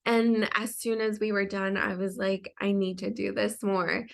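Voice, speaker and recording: A woman's voice: medium pitch, little pitch movement, a little slow, vocal fry. A young adult woman, a designer and singer, telling her story as an interview guest in a chatty way full of fillers and restarts.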